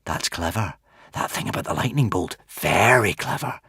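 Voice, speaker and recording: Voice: deep voice, lively intonation, moderate pace, vocal fry, a bit bright, breathy edge, no echo, studio quality, exaggerated voice. A narrator voicing easily impressed, gossipy Viking villagers who muse and marvel. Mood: wondering.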